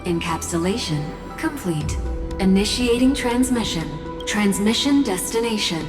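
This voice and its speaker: Slow, impassive female machine voice by a woman. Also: medium pitch, over music, lightly acted. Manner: flat, clipped, procedural. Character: impassive female machine system announcing protocol. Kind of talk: game system announcement.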